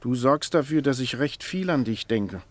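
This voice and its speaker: An actor in a radio play performing a mild-mannered henpecked husband of ancient times, gentle and placating, with dry understatement. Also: male voice, mid-range voice, expressive, normal speed, lightly acted. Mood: wry, patient. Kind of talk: theatrical radio play acting.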